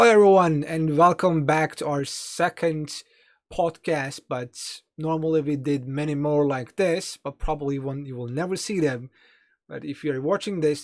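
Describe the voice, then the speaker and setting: He is a man with a Turkish accent, his native language being Turkish. His voice sits a little higher than most men's. He talks casually, as the co-host of a podcast. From Turkey. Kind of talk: casual chat.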